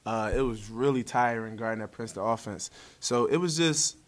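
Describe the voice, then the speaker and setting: A man's voice: medium pitch, normal speed. Young man, easygoing post-game answers. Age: young adult. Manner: upbeat, easygoing, casual slang. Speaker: college basketball player. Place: press conference room, seated at table. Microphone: table mic in front.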